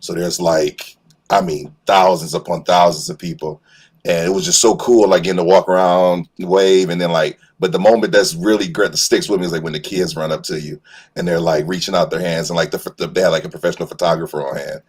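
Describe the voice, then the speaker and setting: A man's voice: sits low, steady tone, a little fast, a little mellow, breathy edge. A man chatting casually as a guest on a livestream, easygoing and hesitant as he explains things with enthusiasm. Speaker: guest voice actor and cosplayer. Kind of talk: casual chat.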